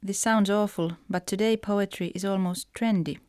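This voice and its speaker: A woman's voice: medium pitch, fairly even intonation, normal speed, darkish tone, smooth, no room sound, studio quality. A woman from Finland with a Finnish accent, a writer reading personal letters aloud.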